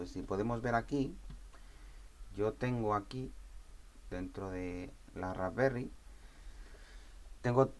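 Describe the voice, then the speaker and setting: Low-voiced man giving an informal tutorial. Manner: conversational, checks understanding with tag questions. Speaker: tutorial presenter. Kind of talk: informal step-by-step software tutorial.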